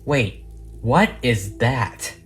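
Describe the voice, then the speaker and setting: A wary cartoon pony detective voiced by a narrator, playing her as female mutters observations. The voice is mid-pitched and deadpan, with music behind. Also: drawn-out words. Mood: wary, curious.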